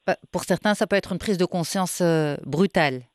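A woman's voice: on the low side, normal speed, dry recording, studio quality. A woman interviewer asking probing, reflective questions in a conversational way, searching for her words.

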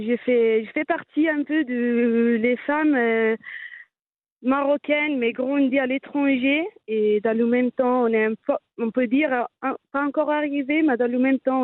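A woman's voice: on the high side, no echo. Italian-accented woman on a tinny line. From Italy. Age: 30s. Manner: hesitant, many fillers and restarts. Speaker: guest. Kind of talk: casual interview talk.

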